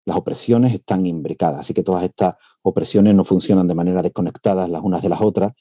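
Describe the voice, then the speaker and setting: Dark-voiced male professor lecturing. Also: low voice, no echo. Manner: measured, with frequent "eh" hesitations. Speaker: university professor giving a lecture. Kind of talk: academic lecture. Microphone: computer mic on a video call.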